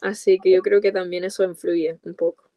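A woman's voice: fairly low pitch, level tone, a little fast, dark tone, no echo, clean studio sound. A woman in her twenties from California, a native English speaker, chatting casually and colloquially as an interview guest. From United States, California. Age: 20s. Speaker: podcast guest, content creator. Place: small dark room with coloured lights, video call.

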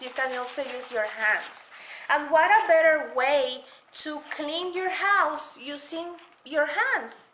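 A woman's voice: high-pitched, sharp tone. A woman casually reviewing household gloves at home, chatting and demonstrating as she goes. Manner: chatty, demonstrating while explaining. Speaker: product reviewer. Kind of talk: casual product review demo. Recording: echoey room, faint noise.

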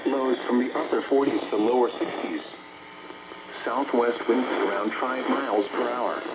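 An American man's synthetic, measured announcer voice reading an automated weather forecast, played over a radio. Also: medium pitch. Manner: flat, synthetic, measured delivery. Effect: radio broadcast. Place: played from a portable radio indoors. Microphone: camera or phone near the radio. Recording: noisy radio speaker picked up in room.

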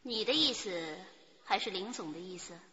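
An actress playing a bitter, lovelorn and sharp-tongued spurned mistress in a theatrical radio drama. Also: female voice, medium pitch, lively intonation, normal speed. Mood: cold. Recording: dull recording with long reverb.

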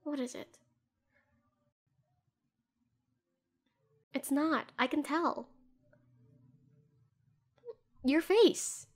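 High-pitched protective female doctor, played by a woman. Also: measured pace. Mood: concerned. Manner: earnest, soothing, hushed at times, fierce when protective. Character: protective, tender female doctor comforting an injured ex-lover. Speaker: voice actor in an audio roleplay. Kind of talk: scripted intimate audio roleplay addressed to the listener.

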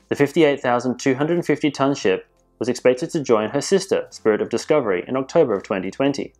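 A man presenting cruise industry news in measured, scripted voice-over narration. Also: mid-range voice, a little mellow. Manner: measured, informative delivery. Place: voice-over, not in pictured places.

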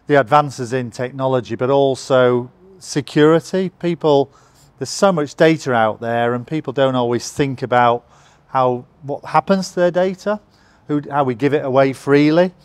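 A man's voice: medium pitch, clear, resonant tone, no echo. Man with a dry, close voice. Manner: measured, explanatory, uses "you know". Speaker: company executive, interviewee. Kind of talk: conversational interview. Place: bright open office lounge with large windows.

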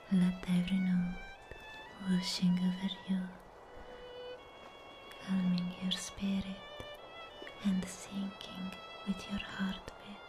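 Woman as serene anime sea guardian for ASMR roleplay: breathy whisper, very slow, mellow, soothing. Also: lowish voice.

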